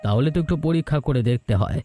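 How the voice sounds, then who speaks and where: Male actor as kindly older town gentleman, cartoon folktale, mellow timbre, measured formal delivery, music underneath. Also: medium pitch, expressive, lightly acted. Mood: curious, measured. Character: courteous older village visitor, a kindly uncle from town.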